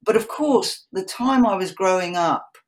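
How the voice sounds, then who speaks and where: A woman with an English accent speaking reflectively about her own life as an interview guest, haltingly, repeating words as she thinks. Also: medium pitch. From England, in a Polish family. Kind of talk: reflective personal conversation.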